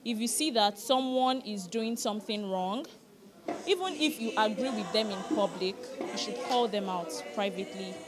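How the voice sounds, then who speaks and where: A woman's voice: medium pitch, moderate pace, over music. A woman with a Nigerian accent, an actress talking conversationally and with opinions in an interview. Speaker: stage actress.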